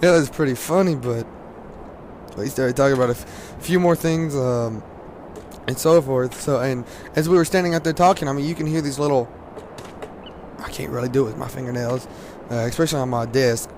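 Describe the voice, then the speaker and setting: Hoarse teen gamer, loose rambling delivery, faint noise floor. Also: male voice, mid-range voice, fairly quick, a little mellow. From Louisiana, US. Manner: rambling, laughs often, many fillers. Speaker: gaming commentator. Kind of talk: casual gaming commentary.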